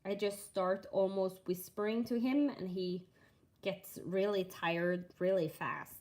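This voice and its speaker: A young woman from Norway with a Norwegian accent, chatting casually and softly while hosting a stitching livestream and reading viewer comments aloud. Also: mid-range voice, fairly even intonation. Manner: slow and soft-spoken, reading chat aloud.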